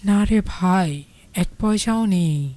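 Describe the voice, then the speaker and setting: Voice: mid-range voice, slow, darkish tone, lightly acted. An actor playing a naive, timid village boy of about ten in an audio story. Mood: sad. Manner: timid, easily shocked.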